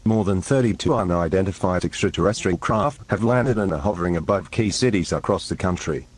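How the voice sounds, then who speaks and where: A very low-voiced man with a husky, unclear tone makes a scripted emergency announcement. He sounds official and impersonal, keeping a measured, formal delivery.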